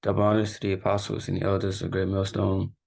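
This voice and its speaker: A man's voice: deep voice, monotone, quick pace, creaky, a little mellow, breathy edge. A man giving a casual spoken lesson as a youth teacher, talking informally and often tagging "right?" and "you know" onto his sentences. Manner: laughs off his own mistakes.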